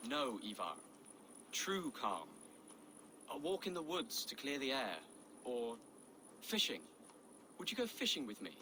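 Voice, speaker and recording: An actor playing a gentle, pious young nobleman in a video game, earnest and polite. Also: medium pitch, very animated, measured pace, long pauses, slight background noise. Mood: pleading. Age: young adult. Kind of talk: dramatic video game acting.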